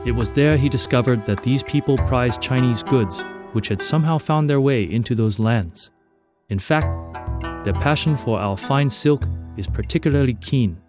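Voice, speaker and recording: A man's voice: mid-range voice, moderate pace. A man reading a formal, stately mission briefing for a strategy game, with music playing behind him. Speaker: game narrator. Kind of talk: scripted mission briefing narration.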